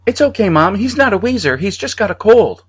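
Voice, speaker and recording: A man's voice: high voice, very animated, brisk, slightly rough, voice pushed up, heavily acted. A man voicing a curious, innocent six-year-old boy in an audiobook, speaking earnestly and politely. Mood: reassuring.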